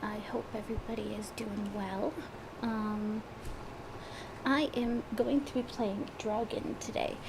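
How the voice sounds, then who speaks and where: A woman's voice: medium pitch, steady tone, slow, gravelly. Woman w a head cold, sniffly, lots of ums, chatting at her desk w a hiss behind. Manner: hesitant, many fillers and restarts. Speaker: gaming streamer. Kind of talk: casual chat before a gameplay session.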